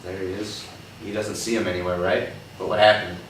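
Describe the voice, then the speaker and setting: A man reading a picture book aloud to children, pausing to ask them questions in a patient, coaxing way and checking in with "right?". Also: low-pitched, flat delivery, a bit bright. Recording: echoey room, distant mic, background noise.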